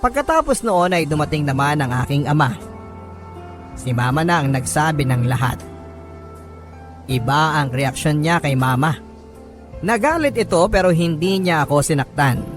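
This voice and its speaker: A man's voice: a little high, very animated, moderate pace, pauses often. A man with a Filipino accent reading a listener's letter as a radio host, in dramatic first-person narration. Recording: music bed under narration.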